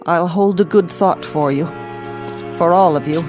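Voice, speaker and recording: An actress plays a former English teacher who meets her fate calmly. Her voice stays gentle and resigned, with a quiet dignity. Faint music runs underneath. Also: fairly low pitch, a little slow, lightly acted.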